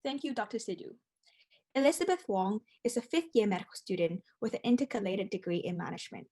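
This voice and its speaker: A woman's voice: medium pitch, expressive, fast talker, dark tone, husky. A woman moderator reading a speaker introduction in an upbeat tone.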